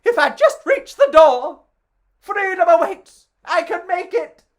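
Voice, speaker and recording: A woman voicing a dramatic, excitable little sausage in a children's storybook, melodramatic and exclamatory. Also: very high voice, a little slow, voice pushed up. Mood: desperate hope.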